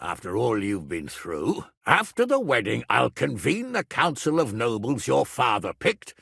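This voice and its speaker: A man playing a middle-aged medieval lord and guardian in a video game, blunt, commanding and earthy, with a gravelly, raspy voice. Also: medium pitch, wildly swooping pitch, measured pace, a bit bright, no echo, clean studio sound, exaggerated voice. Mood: weary, firm. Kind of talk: dramatic video game dialogue.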